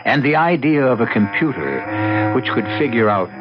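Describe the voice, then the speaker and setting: A man hosting a radio mystery drama, narrating from a script in a measured, ominous, deliberate way. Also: medium pitch, animated, heavy background noise. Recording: dull lo-fi radio recording.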